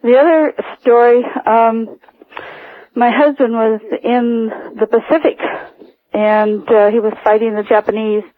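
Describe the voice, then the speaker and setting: An older woman calling a radio show by phone, recounting personal stories in a hesitant, halting way. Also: on the high side, little pitch movement. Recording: narrow tinny phone line.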